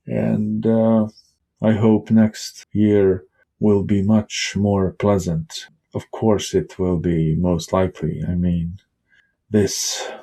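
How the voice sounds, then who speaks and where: A man whose first language is Bosnian, rambling casually with many pauses as he talks through his computer setup. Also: sits low, warm tone. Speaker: vlogger. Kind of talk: casual screen-recorded desktop talk.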